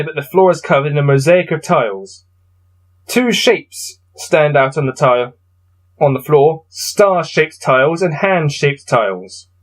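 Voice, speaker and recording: A man's voice: a little high, rough recording. A man hosting a casual gamebook playthrough, reading passages aloud and adding wry, self-deprecating asides. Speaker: host playing through a gamebook.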